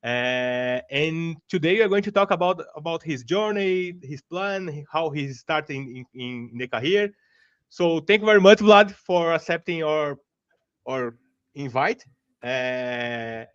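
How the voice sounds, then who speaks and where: A man with a Brazilian Portuguese accent hosts an interview, stumbling and restarting often in friendly speech. His voice is a bit high for a man. The audio is dry and dull, as from a video call. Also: lively intonation, clear, resonant tone, dry recording. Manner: halting, many restarts, friendly. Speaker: podcast host. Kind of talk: casual interview hosting.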